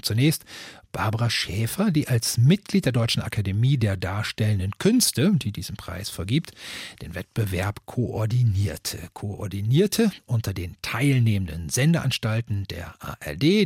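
A man's voice: on the low side, darkish tone, slightly rough, no room sound, studio quality. A man moderating an awards programme in a scripted radio presenting style. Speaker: host and moderator of an award podcast.

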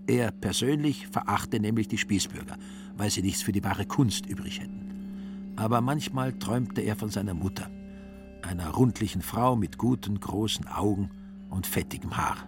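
Gravelly male literary narrator over music. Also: on the low side, moderate pace. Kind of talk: literary narration in a radio drama.

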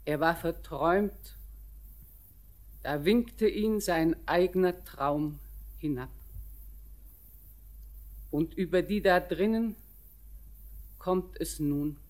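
An actress playing an old blind grandmother in a classic radio play, speaking measured verse. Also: lowish voice, darkish tone. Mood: solemn. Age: older. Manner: slow, measured verse speech.

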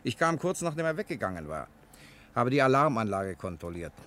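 An actor playing a cool, confident bank cashier in a German radio play, brisk and casually commanding. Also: male voice, medium pitch, very animated, a bit bright. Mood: cool, assured.

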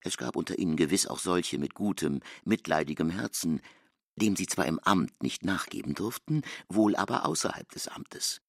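An actor as a grave narrator, reading scripted literary narration in an audio drama. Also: male voice, low voice, animated, normal speed, vocal fry, no echo, studio quality, exaggerated voice. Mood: grave, measured.

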